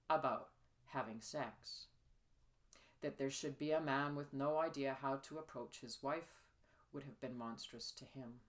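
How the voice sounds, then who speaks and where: A woman narrates period fiction as its author. Her reading is scripted and measured, with an expressive storytelling manner. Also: extremely low pitch. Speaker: author reading own novel.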